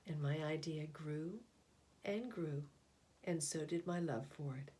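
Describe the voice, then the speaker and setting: Female storyteller, lower register, deliberate expressive picture-book reading with measured pauses. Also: slight background noise. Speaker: read-aloud storyteller. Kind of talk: picture book read aloud.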